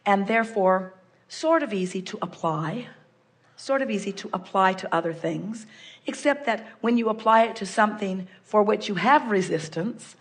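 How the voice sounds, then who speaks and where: An older woman giving a measured, explanatory seminar talk, referring to herself in the third person. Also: medium pitch, animated. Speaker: spiritual speaker answering a guest. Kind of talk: live seminar channeling talk.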